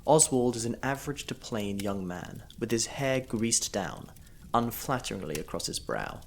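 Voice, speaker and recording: A man reading the scene directions of a period audio play in a measured, even way. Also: medium pitch, vocal fry. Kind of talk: scripted narration of stage directions.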